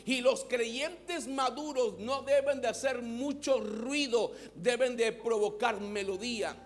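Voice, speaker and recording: High-voiced Mexican pastor preaching slowly. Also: male voice, faint music behind. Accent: Mexican Spanish. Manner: preaching, has the congregation repeat words. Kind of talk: sermon.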